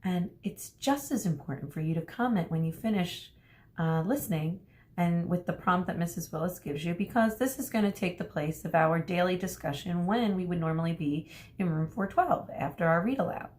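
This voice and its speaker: A woman speaking as an elementary school teacher, reading a story aloud to children and pausing to explain things. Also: on the low side, darkish tone. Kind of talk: read-aloud lesson for children.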